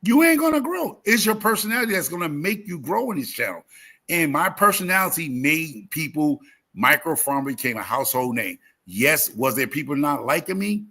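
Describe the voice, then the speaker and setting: A man's voice: fairly high pitch, big pitch swings, moderate pace, pushed and bright, breathy edge. A talkative man in his fifties chatting casually as a livestream host, emphatic and repeating phrases as he tells motivational stories. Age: 50s. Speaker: YouTube gardening creator and live host.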